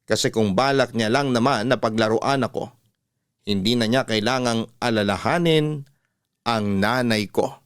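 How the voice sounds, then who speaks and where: A man's voice: mid-range voice, moderate pace, smooth, no room sound. Casting: male Filipino radio storyteller, medium register, reading letters as host, dry and close. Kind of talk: scripted narration, classic radio storytelling.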